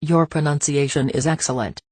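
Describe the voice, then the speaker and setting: A woman with a very deep voice for a woman reads learner phrases one by one. Her delivery is slow and measured, with a pause after each line. The recording is dry, with no reverb. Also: husky. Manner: slow, even, careful phrase-by-phrase reading. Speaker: phrase reader. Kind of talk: reading translated phrases for learners.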